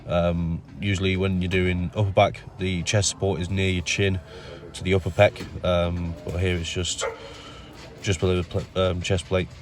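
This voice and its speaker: Low, flat-voiced man with a nasal cold. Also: normal speed. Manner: relaxed, many ums, explains step by step. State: has a cold. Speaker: bodybuilder narrating his workout. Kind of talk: casual voice-over commentary.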